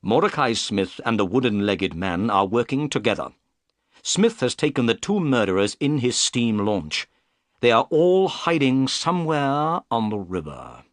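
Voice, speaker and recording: A man's voice: mid-range voice, very animated, a little slow, no room sound, studio quality. A man voicing a composed, courteous, shrewd detective in an audiobook story, polite and confident. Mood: decisive, confident.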